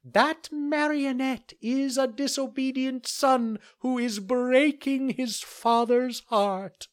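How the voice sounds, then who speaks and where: Tiny preachy cricket, super high squeaky voice, slow and stern. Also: fairly even intonation. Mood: scolding. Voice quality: very high, piping. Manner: scolding, preachy. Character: stern male talking cricket, moralizing.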